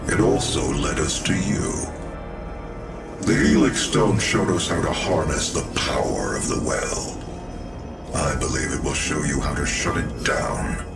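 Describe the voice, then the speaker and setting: A man playing a grave, calculating scientist leader in a video game, speaking in a measured, authoritative way. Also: rumbling low, gravelly, full character voice. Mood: calm authority. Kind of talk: video game cutscene acting. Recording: distant sound under loud music.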